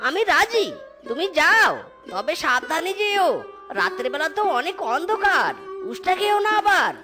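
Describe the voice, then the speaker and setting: A woman's voice: very high voice, very animated, normal speed. A woman voicing a bumbling, boastful blind young man in a cartoon, bragging and swearing. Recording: cartoon music behind.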